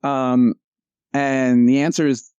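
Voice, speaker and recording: A man's voice: mid-range voice, little pitch movement, slow pace, a little mellow, clean tone, dry recording, muffled recording. A man chatting casually as an interview guest, rambling a bit and often correcting himself mid-sentence. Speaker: comic strip cartoonist, interview guest.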